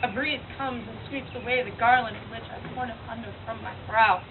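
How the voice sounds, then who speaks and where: A woman's voice: a little high, steady tone, fairly quick, natural voice. A woman performing a scornful, doomed young prophetess in a declamatory stage monologue from Greek tragedy. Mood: defiant, frenzied. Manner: declamatory, theatrical. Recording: tinny, noisy far-off event recording.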